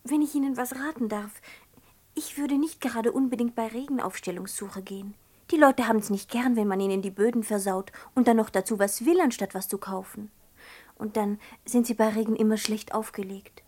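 A woman's voice: fairly high pitch, fairly even intonation, fairly quick, a little mellow, natural voice. An actress playing a pert, world-weary saleswoman in a 1960s radio play, blunt and chatty under her shop manners. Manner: polite to customers, blunt and chatty otherwise.

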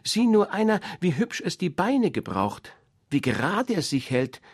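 A fussy, proud mother duck, voiced by a man reading a storybook, fretting and bossing her brood in a shrill, lifted voice. The voice is a little husky, swinging up and down, and the recording is dry and close. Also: very animated, measured pace, dry recording, full character voice. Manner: shrill, scolding, bossy.

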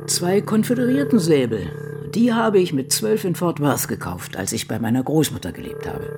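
Brooding ageing woman novelist, lively pitch. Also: normal speed, background noise. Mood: brisk, assertive. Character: Patricia Highsmith, ageing woman crime novelist, solitary and brooding. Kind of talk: literary radio drama, diary monologue.

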